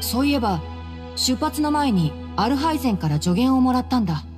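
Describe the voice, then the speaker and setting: A woman voicing a calm, clever young male scholar in an anime game, composed and articulate as he explains things. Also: high voice, a little fast, long reverb tail, over music. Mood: calm, informative.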